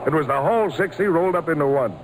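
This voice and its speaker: An actor playing a folksy American old-timer reminiscing in a 1940s radio drama. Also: male voice, on the high side, rapid-fire, close to an everyday voice. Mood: admiring, thoughtful. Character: old-timer in the stands, warm baseball storyteller. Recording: noisy old-time radio broadcast recording.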